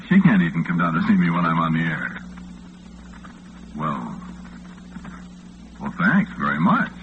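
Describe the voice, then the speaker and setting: Low, lively American late-night disc jockey. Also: male voice, exaggerated voice. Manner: casual, halting, folksy patter. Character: chatty male overnight radio disc jockey, folksy and easygoing. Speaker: late-night radio host. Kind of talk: old-time radio drama acting, conversational. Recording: muffled, noisy vintage radio recording.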